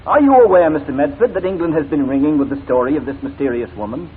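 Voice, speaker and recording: A man's voice: fairly high pitch, lively intonation, quick pace, natural voice. A man playing a shrewd, proud English barrister in 1940s radio drama, articulate and formal. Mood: accusing, forceful. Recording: tinny, noisy 1940s radio recording.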